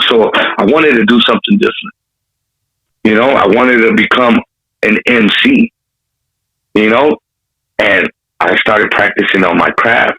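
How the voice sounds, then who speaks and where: A man's voice: lowish voice, vocal fry, sharp tone, low-quality recording. A man from Compton with an urban Los Angeles accent, telling stories as a rapper in a casual interview, using streetwise slang and frequent swearing. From Compton, California. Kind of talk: animated storytelling in a casual interview.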